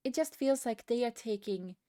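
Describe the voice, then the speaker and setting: A woman's voice: on the high side, normal speed, warm tone. A woman giving a casual, rambling opinion rant as a gaming video creator, often hesitating and repeating herself.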